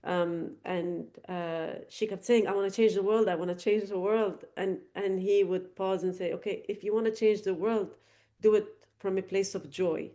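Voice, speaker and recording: A woman's voice: medium pitch, steady tone, moderate pace, mellow. A middle-aged woman from Lebanon, a podcast guest giving thoughtful, earnest answers with frequent fillers and restarts. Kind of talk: reflective interview answers.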